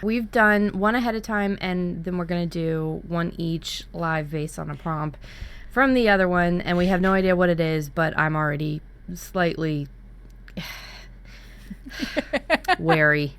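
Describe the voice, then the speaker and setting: A woman's voice: fairly low pitch, measured pace, a bit bright, clean tone. A woman hosting a podcast, chatting casually and often rephrasing herself as she explains. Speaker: podcast host.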